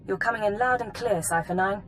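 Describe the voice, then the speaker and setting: A woman's voice: medium pitch, brisk, a bit bright, faint music behind, natural voice. Woman playing a composed, precise intelligence officer. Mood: formal briefing. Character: composed female Imperial intelligence analyst, precise and dutiful. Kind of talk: scripted video game acting, mission briefing.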